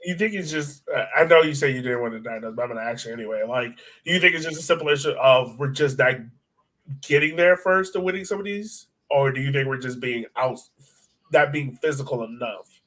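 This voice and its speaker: A man's voice: mid-range voice, lively intonation, fairly quick, fairly bright tone, rough recording. A man with an American accent hosts a casual soccer podcast. His voice sits in a middle register. He talks conversationally, with an inquisitive manner that keeps the discussion moving. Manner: inquisitive, steers the discussion with questions. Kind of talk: casual conversational sports talk.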